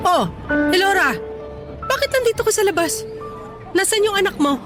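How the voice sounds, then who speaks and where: An actress playing a bitter, nagging middle-aged mother in a radio drama, scolding with sudden sharp jumps in pitch. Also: lively intonation, moderate pace, over music.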